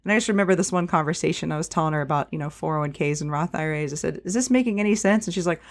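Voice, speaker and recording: A woman in her thirties with a fairly deep voice for a woman, a podcast guest chatting casually with plenty of fillers. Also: rapid-fire. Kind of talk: casual interview chat.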